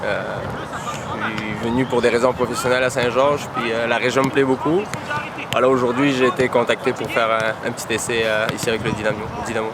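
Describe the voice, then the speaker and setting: A man's voice: mid-range voice, little pitch movement, quick pace. A man from France with a French accent, a soccer player answering interview questions outdoors with many hesitations and fillers. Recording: noisy outdoor background.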